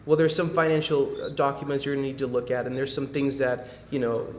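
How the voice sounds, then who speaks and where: A man's voice: mid-range voice, level tone, fast talker, echoey room, a little hiss or hum. A man from Medellín, Colombia, hosting a real estate webinar over a video call in a loose, conversational way, with many fillers and tag questions. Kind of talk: casual presentation on a video call.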